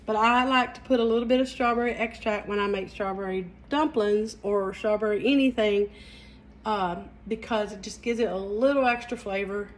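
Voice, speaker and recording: A woman's voice: medium pitch, normal speed, a little hiss or hum, rough recording. A middle-aged woman from the mountains of Southeast Kentucky with an Appalachian accent, chatting folksily while hosting a live cooking stream in her home kitchen. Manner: folksy, chatty, says y'all. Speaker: cooking show host.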